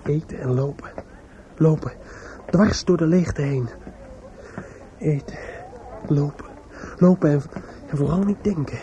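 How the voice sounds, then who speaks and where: An actor playing a desperate, distraught young man in a radio play, muttering in a halting, repetitive, obsessive way. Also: fairly high pitch, mellow, dull audio, a little hiss or hum, lightly acted. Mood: brooding, dazed.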